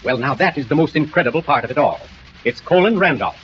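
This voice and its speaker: A man plays a fussy, self-righteous neighborhood father in an old radio comedy. His lightly high voice swings about animatedly as he hesitates, repeats himself and chuckles nervously. The recording is muffled, with clear noise. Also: animated, fast, natural voice. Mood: worried. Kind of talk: 1940s radio comedy acting.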